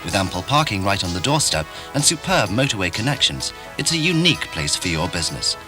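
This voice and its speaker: A man's voice: on the low side, very animated, a little fast, music in the background. A man reading scripted corporate promotional narration for an advert. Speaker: advert voice-over.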